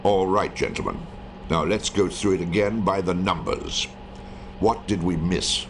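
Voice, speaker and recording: Deep, commanding wartime intelligence chief. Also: male voice, a little hiss or hum. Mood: stern, questioning. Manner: authoritative, deliberate, questioning. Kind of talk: scripted military briefing in a video game.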